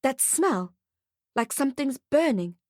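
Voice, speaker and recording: A woman's voice: sits high, expressive, measured pace, darkish tone, dry recording, studio quality, pitched above the performer's own voice. A woman voicing an emotional, effusive mother of a small child in an audiobook story. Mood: uneasy. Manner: effusive, exclamatory. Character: emotional, gushing young mother.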